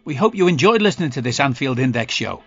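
A man's voice: mid-range voice, big pitch swings, fast talker, husky, muffled recording, soft background music. A man announcer giving a scripted podcast outro as an upbeat promotional read. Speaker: network announcer.

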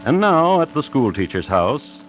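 An actor playing a wry, formal narrator of an old tale in a radio drama, with a measured, mock-solemn delivery. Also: male voice, mid-range voice, big pitch swings, slight background noise. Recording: muffled old radio recording, music behind.

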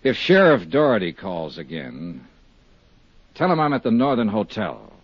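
Tough male investigator, low and lively, direct and probing, vintage radio drama. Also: measured pace, husky, dry recording, exaggerated voice. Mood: insistent. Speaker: lead detective and narrator. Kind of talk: 1950s radio detective drama acting. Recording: muffled 1950s radio recording.

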